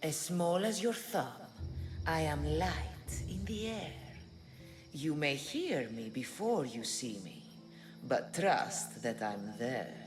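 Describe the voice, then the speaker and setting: A guardian of hidden knowledge in a game, speaking in riddles. Her voice sits very low and moves slowly, with lively melodic swings. Game music plays loudly behind her. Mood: enigmatic. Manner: slow, measured, riddling. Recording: game cutscene with loud music.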